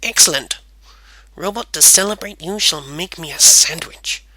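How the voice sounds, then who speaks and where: Man playing an eager, bright-voiced inventor. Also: on the high side, normal speed, no room sound, poor audio. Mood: proud, commanding. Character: eager, proud male amateur inventor of robots.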